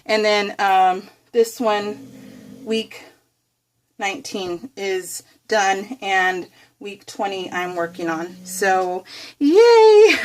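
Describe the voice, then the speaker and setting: A woman's voice: mid-range voice, lively intonation, extremely slow, a little hiss or hum. A middle-aged woman hosting a craft vlog, chatting casually and rambling as she works, often correcting herself and giggling. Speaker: craft video host.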